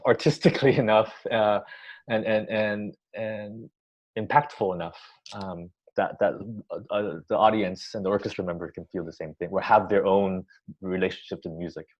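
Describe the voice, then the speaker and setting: A man, born in Taiwan, giving thoughtful interview answers with many pauses, restarts and ums. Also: fairly low pitch, darkish tone. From Taiwan, raised in the US. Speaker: orchestra music director. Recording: dull lo-fi sound.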